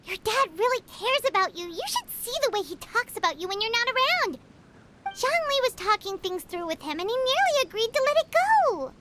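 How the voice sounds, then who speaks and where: A woman's voice: very high-pitched, expressive, a little fast, very noisy. A woman voicing a small, cheerful, pushy fairy-like companion girl in a video game, with a perky manner. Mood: earnest.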